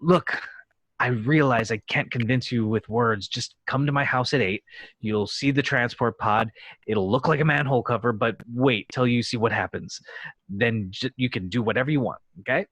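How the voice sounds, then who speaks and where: A man with a husky voice playing an earnest, pleading actor-captain in an amateur script read. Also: mid-range voice, moderate pace, fairly bright tone, no room sound.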